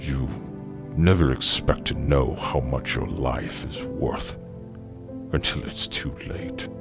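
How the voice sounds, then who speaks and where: Very deep, gravelly cannibal gentleman. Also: slow pace, over music, exaggerated voice. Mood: softened, smug. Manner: theatrical, mock-polite, savouring his words. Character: suave, sinister cannibal gentleman, cruel and mockingly courteous. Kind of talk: horror audio drama acting.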